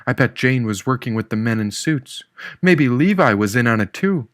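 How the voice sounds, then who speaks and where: A man's voice: mid-range voice, lively intonation, normal speed, no echo, clean studio sound. A man reading a first-person novel aloud as a scripted audiobook narrator. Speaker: audiobook narrator, author reading own book.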